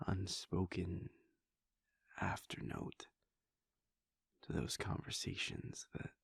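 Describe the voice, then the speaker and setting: A man voicing a weary, brooding male vampire prince in an intimate audio roleplay, speaking in a low husky whisper as a soft confiding monologue. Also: expressive, takes it slowly, no echo, studio quality, full character voice. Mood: reflective.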